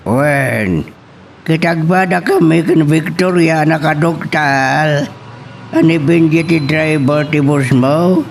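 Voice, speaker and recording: A man's voice: on the high side, slow, gravelly, a little hiss or hum. A man playing a caring, fussing father of a grown daughter in an audio drama. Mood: concerned.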